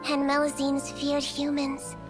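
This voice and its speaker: A woman voicing a gentle, polite, childlike little nurse creature in a video game, speaking softly and earnestly. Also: high-pitched, completely flat delivery, a little slow, music in the background. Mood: wistful storytelling. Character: childlike gentle female Melusine nurse, a small shapeshifter. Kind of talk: video game character acting and storybook narration.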